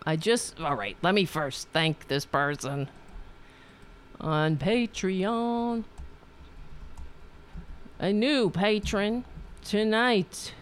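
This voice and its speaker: A woman's voice: mid-range voice, drawn-out words, pauses often, a little hiss or hum. A woman with a New York accent from Staten Island, hosting a political talk show in a rambling, profane monologue full of restarts. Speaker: podcast host.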